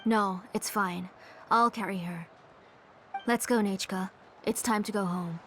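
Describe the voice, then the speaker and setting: A woman's voice: medium pitch, measured pace, fairly bright tone, a little hiss or hum, natural voice. An actress playing a polite but guarded, controlling mother in a video game, composed and firm. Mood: politely composed.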